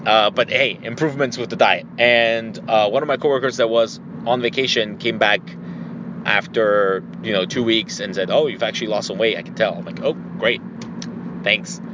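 Rambling man podcasting over loud car noise. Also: medium pitch, lo-fi sound. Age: 30s or older. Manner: rambling, conversational, mildly profane. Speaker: podcast host. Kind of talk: casual solo monologue, personal anecdotes. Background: loud vehicle and road noise.

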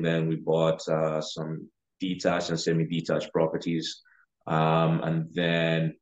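A man's voice: low-pitched, dull audio. A young man from Uganda, a real estate investor, talking casually as a podcast guest with many fillers. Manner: relaxed, with frequent fillers like "you know" and "uh". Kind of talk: casual interview talk.

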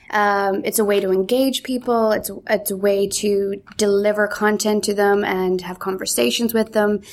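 A woman's voice: medium pitch, creaky. A woman from Ireland with a neutralized accent, answering interview questions in an upbeat, chatty way. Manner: chatty, upbeat, quick with a laugh. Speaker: interview guest, content marketing lead.

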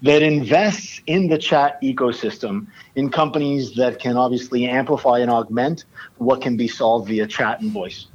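A Puerto Rican startup founder, a man, giving a polished pitch with filler ums in a casual interview. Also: measured pace, muffled recording. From Puerto Rico. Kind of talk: casual interview, switching Spanish and English.

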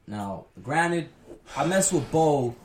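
A man's voice: fairly high pitch, lively intonation, slow pace. A young man on a casual podcast, pushing strong opinions while hedging with lots of "like". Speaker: podcast co-host. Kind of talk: casual chat. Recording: echoey room with faint noise.

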